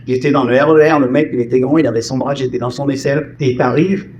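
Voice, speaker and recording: A man's voice: medium pitch, steady tone, quick pace, a little mellow, dull audio, slight background noise. A man from France with family roots in Martinique, telling stories about voice dubbing in a casual interview, acting out the lines he quotes. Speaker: voice actor and singer, guest.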